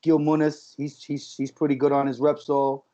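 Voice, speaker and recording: Slightly high-voiced man, chatty storyteller. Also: flat delivery, normal speed, mellow. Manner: storytelling, lots of fillers. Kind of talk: casual podcast conversation. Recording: dull lo-fi sound.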